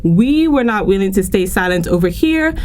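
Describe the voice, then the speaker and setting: A woman's voice: mid-range voice, lively intonation, normal speed, darkish tone, soft background music. A woman from Lagos, speaking earnestly and emphatically as an articulate radio interview guest. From Lagos, Nigeria.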